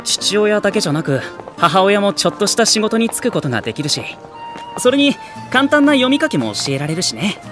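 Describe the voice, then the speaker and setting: Woman as mild-mannered teenage boy, lively intonation. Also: medium pitch, a little fast, soft background music, natural voice. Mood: easygoing. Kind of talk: anime audio drama acting.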